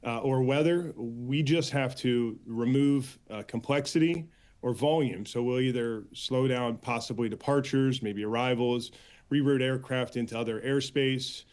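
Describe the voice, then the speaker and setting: An American man answering as an interview guest and union spokesman, earnest and halting, with frequent filler pauses. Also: mid-range voice, darkish tone. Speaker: air traffic controllers' union official, interview guest.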